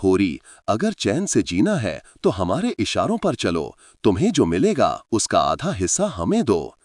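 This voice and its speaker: A man's voice: on the low side, huge pitch swings, a little slow, no echo, clean studio sound, exaggerated voice. A man voicing a domineering, menacing landlord.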